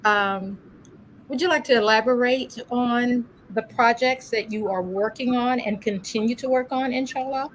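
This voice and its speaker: A woman's voice: fairly high pitch, normal speed, rough recording. A woman hosting a community religious program, speaking informally in an encouraging, courteous way. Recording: steady background noise.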